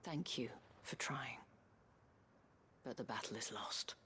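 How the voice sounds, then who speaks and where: A woman's voice: rumbling low, takes it slowly, whispered. An actress playing a dignified, principled noblewoman in a fantasy game, with poised, formal and eloquent speech. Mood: resigned, sorrowful. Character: dignified noblewoman leading a town, wistful, principled. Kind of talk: scripted game dialogue.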